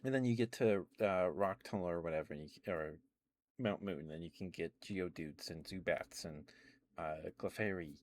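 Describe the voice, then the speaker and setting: A man with a deep voice chats casually in the manner of an ASMR streamer. He rambles haltingly, often stammering and restarting his phrases. The sound is close on the microphone and very dry, with hardly any room to it. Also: dry recording. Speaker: livestream host.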